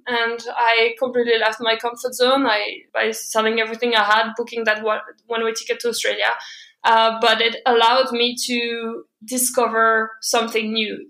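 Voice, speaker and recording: Bright-voiced European woman, echoey room. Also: fairly high pitch. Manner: open, laughs often, many fillers and restarts. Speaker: podcast guest. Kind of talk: personal storytelling in conversation.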